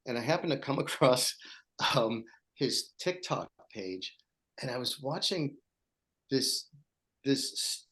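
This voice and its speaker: A man who used to teach, a guest on a casual podcast, talking with enthusiasm. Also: medium pitch. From Pittsburgh, Pennsylvania.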